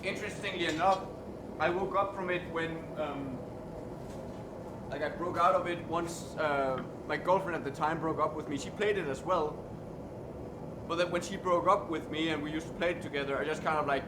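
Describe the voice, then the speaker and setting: A young man with a Danish accent chats loosely and candidly, rambling a bit and swearing freely. His voice sits a little high. He sounds like he is in a roomy, echoing workshop with a steady air-conditioning hum behind him. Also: moderate pace. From Denmark. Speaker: streamer and metalworker. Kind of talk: casual chat while working on stream. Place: indoor workshop.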